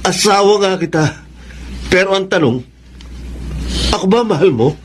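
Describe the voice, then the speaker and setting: An actor with a Filipino accent playing a jealous, insistent husband in a radio romance drama. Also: male voice, sits high, animated, normal speed, a little hiss or hum. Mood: jealous, hurt. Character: jealous, wounded young husband.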